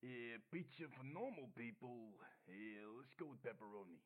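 A man's voice: mid-range voice, animated, moderate pace, slightly rough. A man playing a casual pizza shop worker on the phone in an audio drama, through a telephone filter. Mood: offhand. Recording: tinny narrow phone line.

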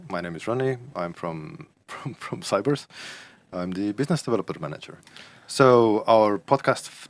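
A man hosting a podcast in casual chat, often repeating words as he searches for the next one. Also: deep voice. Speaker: podcast host.

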